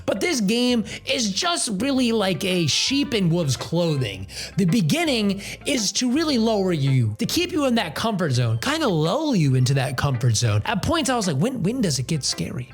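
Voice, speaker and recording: Male game reviewer, very animated pitch swings, casual conversational delivery, ad-libbed asides, music underneath. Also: moderate pace. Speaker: video game review host. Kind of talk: casual scripted video review with ad-libs.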